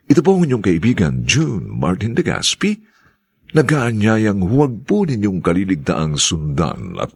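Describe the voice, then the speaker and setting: A man's voice: sits low, vocal fry, husky. A man announcing a radio drama in a formal, polite manner and drawing his words out. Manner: slow, polite, drawn-out delivery.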